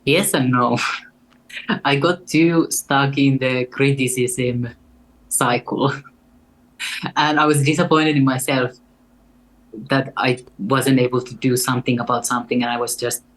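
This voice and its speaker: A young woman with a Finnish accent and a deep voice, speaking as a guest in an earnest, reflective way. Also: steady tone, unhurried, long pauses, rough recording. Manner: earnest and reflective, with light laughs. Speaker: guest artist. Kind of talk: casual interview conversation.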